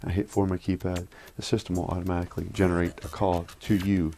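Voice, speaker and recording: Low-voiced man giving an informal demo. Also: little pitch movement, warm tone, a little hiss or hum. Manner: informal, halting, thinking aloud. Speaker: product demonstrator. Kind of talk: casual hands-on phone system demo.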